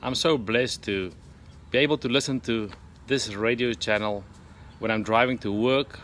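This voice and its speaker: A man speaks with warm, urging enthusiasm at a middle pitch. Faint background noise sits under his voice. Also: moderate pace. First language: Afrikaans. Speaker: radio listener giving a testimonial. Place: home in Arizona.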